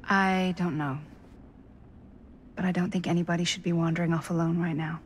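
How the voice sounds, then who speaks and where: An actress playing a sceptical, level-headed woman in a video game, guarded and measured with some hesitancy. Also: fairly low pitch, little pitch movement, slight background noise, close to an everyday voice. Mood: cautious. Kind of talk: cinematic video game acting.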